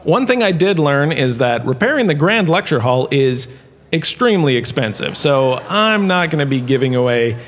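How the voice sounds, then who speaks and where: In long hall reverb, a man acts out a vain professor of galactic history in a comic audio lecture. The voice sits a little high and swings widely in pitch, with showy, pompous delivery. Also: sing-song, moderate pace, a bit bright, slight background noise. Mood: lecturing.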